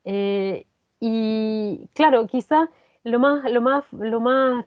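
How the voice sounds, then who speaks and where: A woman with a Chilean accent answering in an interview, reflective and earnest, often halting and repeating phrases. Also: fairly high pitch, steady tone, no room sound. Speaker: actress, interview guest. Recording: dull, lo-fi sound.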